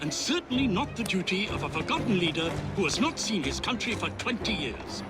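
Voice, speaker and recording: Haughty statesman, swooping high voice. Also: very animated, fairly quick, over loud music. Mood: lofty, firm. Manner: pompous, condescending, oratorical. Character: haughty, blunt senior British statesman. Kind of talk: dramatic video game cutscene acting.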